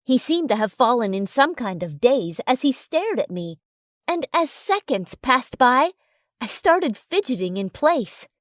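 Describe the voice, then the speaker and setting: A woman narrates a romance story in a high voice. Her pitch moves in lively sweeps as she reads the scripted text, and the recording is dry with almost no room sound. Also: measured pace, no echo.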